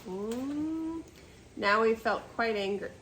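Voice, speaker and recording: Older woman, lively unhurried storytime reader. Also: on the high side, slight background noise, poor audio. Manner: expressive, asking the listener questions. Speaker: storytime host reading aloud to children. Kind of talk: children's picture-book read-aloud with casual asides. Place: seated in a chair.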